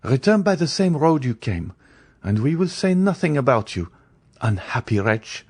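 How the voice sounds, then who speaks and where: A man's voice: mid-range voice, big pitch swings, moderate pace, a little mellow, husky, pitched above the performer's own voice. A man voicing a proud young woman who speaks coldly and curtly. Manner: cold, curt.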